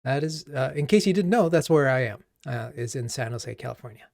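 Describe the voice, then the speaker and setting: A man from Japan hosting a coding livestream as a coach, chatting casually and haltingly as he thinks aloud. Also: medium pitch, expressive, vocal fry, a little mellow, no room sound, clean studio sound.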